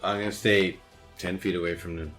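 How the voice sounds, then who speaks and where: Very deep-voiced man at a game table. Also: fairly even intonation, fairly quick, fairly bright tone, soft background music. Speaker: player. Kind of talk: casual tabletop game chat.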